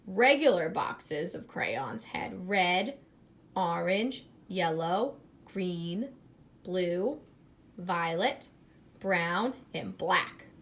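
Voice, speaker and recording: A woman reading a picture book aloud in an expressive, teacherly way, pausing to address young listeners with questions. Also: on the low side. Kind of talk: expressive children's read-aloud with asides and questions.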